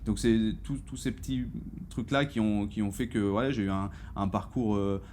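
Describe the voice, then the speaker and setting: A man's voice: lowish voice, normal speed, a little mellow, slight background noise. A man in his thirties from Iran, talking casually as an interview guest and telling his own story with a ready laugh. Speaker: guest, HR professional.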